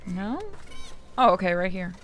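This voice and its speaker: A man's voice: high-pitched, moderate pace, a bit bright. A man playing a video game and bantering casually in a teasing, jokey way, with game music behind him. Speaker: player in a game playthrough.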